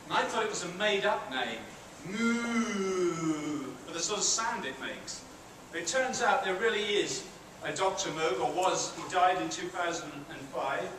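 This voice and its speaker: A man's voice: sits high, animated, measured pace, few pauses, fairly bright tone. A middle-aged British man with a British accent, giving a chatty university lecture and asking the audience questions. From Britain. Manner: chatty, asks the audience questions, self-deprecating asides. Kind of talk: public lecture to students. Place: large theatre hall with an audience. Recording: very echoey hall, far-off and noisy.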